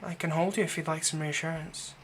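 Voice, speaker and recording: Man playing a soft, breathy, possessive villain. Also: a little high, steady tone, fairly quick, a little hiss or hum. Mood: gentle, reassuring. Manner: soft, soothing, often dropping to a breathy whisper. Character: possessive young male fantasy villain, tenderly soothing his captive. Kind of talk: ASMR roleplay, intimate scripted monologue.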